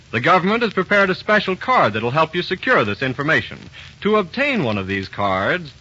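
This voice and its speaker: Male American 1940s radio pitchman, fairly high, animated, polished and persuasive. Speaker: radio announcer reading sponsor message. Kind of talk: 1940s radio advertising announcement. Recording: muffled old radio recording.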